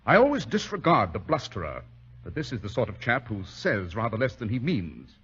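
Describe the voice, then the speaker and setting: Lo-fi 1940s radio drama, British gentleman detective, lively intonation, shrewd, composed, drily polite. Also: on the low side, fairly quick, exaggerated voice. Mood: brisk composure. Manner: crisp, dry, urbane, theatrical. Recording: dull, lo-fi old radio recording.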